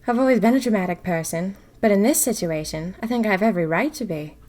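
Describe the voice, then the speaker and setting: A woman's voice: medium pitch, fast talker, a little mellow, close to an everyday voice. Woman acting a dramatic young 1920s diarist. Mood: anxious, dramatic. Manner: theatrical, wry, self-dramatizing. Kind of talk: first-person audio drama diary narration.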